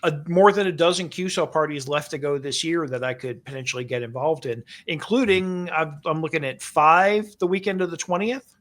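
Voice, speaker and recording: A man with a somewhat high voice co-hosts a casual podcast. He talks in an easy, chatty way, working through explanations with little asides. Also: fairly quick, a bit bright. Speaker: co-host.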